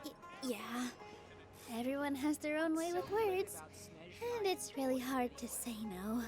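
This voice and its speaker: A woman voicing a gentle young woman in a game, soft-spoken, sweet and a little bashful. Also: high voice, lively intonation, measured pace. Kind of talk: game voice acting. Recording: game audio with soft background music.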